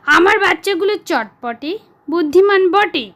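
A woman's voice: extremely high pitch, lively intonation, a little slow, fairly bright tone, pitched above the performer's own voice, heavily acted. A woman doing a kindly comforting dog. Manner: soothing, reassuring. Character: calm kindly male dog friend.

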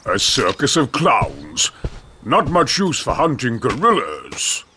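An actor playing a pompous, scornful middle-aged hunter villain in a video game. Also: male voice, mid-range voice, wildly swooping pitch, takes it slowly, bright, forceful tone, slight background noise, heavily acted. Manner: pompous, disdainful.

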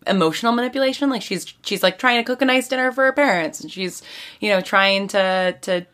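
A woman's voice: mid-range voice, brisk, a bit bright. A woman guest on a podcast, chatting casually about fiction in an informal way, saying "like" often. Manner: informal, peppered with "like".

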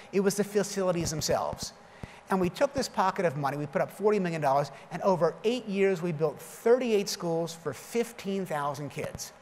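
Guy in his 50s doing a keynote at a podium, kinda high voice, big echoey hall, faint hiss behind. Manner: storytelling, punchy, self-deprecating humor. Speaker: keynote speaker. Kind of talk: conference keynote with jokes and anecdotes. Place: large conference hall stage at a lectern. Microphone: lectern microphone. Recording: long hall reverb, faint background noise.

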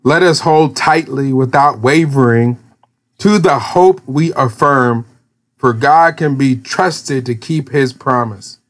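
A man reading Bible verses aloud in a measured, plain way. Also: medium pitch. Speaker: scripture reader.

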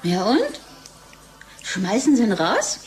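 An actress playing a bored, tipsy wife in a German radio play, sardonic and dreamy, with a lazy teasing drawl. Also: female voice, mid-range voice, wildly swooping pitch, slow pace, a little mellow, faint music behind. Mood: languid, teasing. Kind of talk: radio drama acting.

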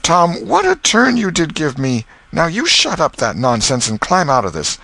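Old scolding aunt for a storybook male reader: animated, higher pitch, folksy, soft-hearted. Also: normal speed, vocal fry, no room sound, exaggerated voice. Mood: relieved scolding.

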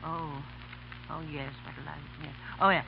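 A woman's voice: deep voice, fairly even intonation, a little slow, creaky. An actress playing a poor, emotional old woman apple peddler in a 1930s radio drama, with plaintive theatrical delivery. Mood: tender, faltering. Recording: muffled, noisy 1930s radio recording with hum.

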